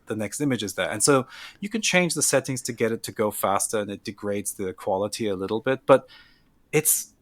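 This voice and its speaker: A man's voice: fairly low pitch, fairly quick, dry recording. A man co-hosting a podcast, chatting enthusiastically while giving a casual product recommendation. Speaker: podcast co-host. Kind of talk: casual chat.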